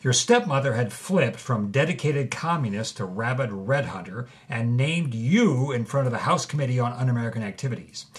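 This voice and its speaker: A man's voice: mid-range voice, expressive, moderate pace, few pauses, husky. An actor playing an eager male radio host, delivering a scripted interview show. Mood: engaged.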